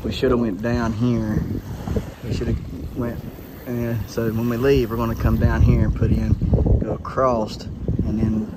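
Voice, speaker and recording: A man, excitedly narrating an outdoor adventure vlog on a phone outdoors by the water. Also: medium pitch, unhurried. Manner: excited, folksy and chatty. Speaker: vlogger. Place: outdoors on a windy beach by the water. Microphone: handheld phone. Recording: loud wind noise on a phone mic.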